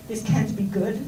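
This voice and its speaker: A middle-aged Israeli woman giving an informal talk to seminar students, with a Hebrew accent in her English and many fillers and restarts. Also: mid-range voice. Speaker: speaker at a seminar. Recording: roomy and distant, with noise.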